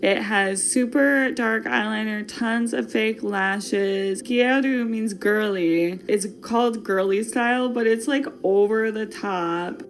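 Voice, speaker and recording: A woman's voice: mid-range voice, slow pace, few pauses. Playful chatty woman with a Wisconsin accent. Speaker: podcast host. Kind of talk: casual fashion podcast chat.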